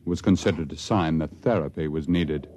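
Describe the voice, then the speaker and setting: A man narrating a 1950s radio drama in a measured, storytelling way. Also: very deep voice, mellow, a little hiss or hum. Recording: dull lo-fi vintage radio recording with music.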